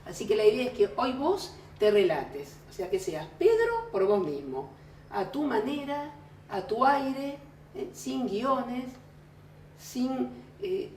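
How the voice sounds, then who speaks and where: A woman's voice: on the high side, sing-song, slow, darkish tone, a little hiss or hum. A woman with an Argentine accent, interviewing a guest in a chatty conversational way, heard in a room in Rosario. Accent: Argentine Spanish. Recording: echoey room, mic at a distance.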